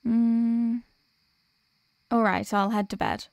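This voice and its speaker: A woman playing a sweet, sleepy workaholic girlfriend in an ASMR audio roleplay, speaking softly and hesitantly with affection. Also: on the high side, steady tone, slow, vocal fry, no room sound. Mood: sleepy, giving in.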